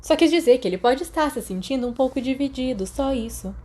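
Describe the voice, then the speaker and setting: Woman playing Brazilian schoolgirl, frowning, defensive yet sympathetic, curt delivery, mellow storybook voice. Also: sits high, lively intonation, brisk, pitched above the performer's own voice. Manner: curt, explanatory.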